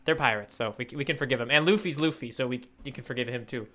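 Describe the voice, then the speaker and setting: A man's voice: mid-range voice, fast, bright, forceful tone. A man co-hosting a podcast in casual, good-humored conversation, often doubling back on his sentences. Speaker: podcast co-host, newcomer tourist. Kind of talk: casual chat, manga readalong podcast.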